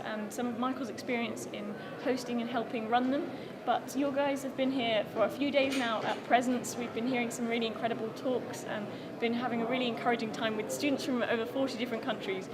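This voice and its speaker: Female live-stream host, high-ish voice, quick delivery, welcoming; heavy background noise. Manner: bright, welcoming, chatty. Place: conference venue.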